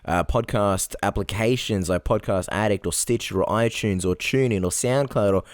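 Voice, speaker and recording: A man's voice: lowish voice, moderate pace, no room sound. A man co-hosting a combat sports podcast in casual chat, joking dryly and repeating phrases. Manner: dry joking, repeats himself. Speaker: podcast co-host.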